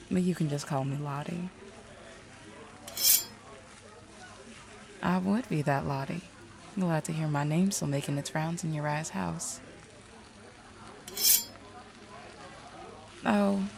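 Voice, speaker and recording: A deep female voice, heavy with vocal fry, an actress playing a mayor who is also a seer. She is confident and shrewd, talking in a direct, folksy, knowing way, as in an audio drama. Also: darkish tone. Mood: friendly, sly. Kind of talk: audio roleplay acting.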